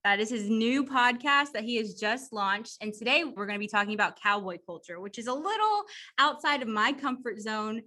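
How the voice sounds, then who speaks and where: Bright, upbeat woman hosting a podcast. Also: fairly high pitch, moderate pace. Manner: upbeat, enthusiastic. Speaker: podcast host. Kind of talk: casual interview.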